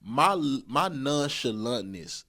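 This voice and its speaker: A man's voice: medium pitch, sing-song, slow pace, sharp tone. Man in his thirties chatting casually. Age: 30s. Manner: rambling, repeats himself, colloquial, laughs often. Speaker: podcast co-host. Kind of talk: casual relationship advice talk.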